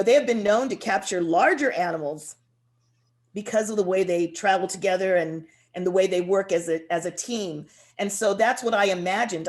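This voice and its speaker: A woman speaking as a guest author, reflective and expressive, deliberately drawing out words for emphasis. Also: mid-range voice. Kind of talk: reflective interview answers and poetry reading.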